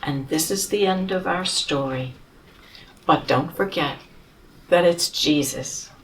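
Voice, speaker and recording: A woman gently closing a children's story reading, explaining its meaning softly and slowly. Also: low-pitched, recorded from a distance, a little hiss or hum, low-quality recording. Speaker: storybook reader.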